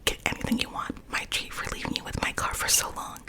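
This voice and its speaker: A woman's voice: fast, close to an everyday voice. A woman voicing a friendly, teasing young man in a whispered story reading. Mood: playful.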